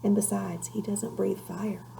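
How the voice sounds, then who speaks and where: A woman's voice: medium pitch, level tone, measured pace, gravelly, mellow, a little hiss or hum, rough recording. A woman voicing a kindly, earnest male knight in a storybook, with an encouraging, explanatory manner. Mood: hushed, defensive.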